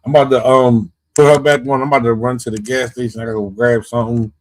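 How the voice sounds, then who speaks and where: Guest, male, mid-pitched, emphatic and repetitive. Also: flat delivery, fast talker. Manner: emphatic, repeats points for stress. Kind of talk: casual chat.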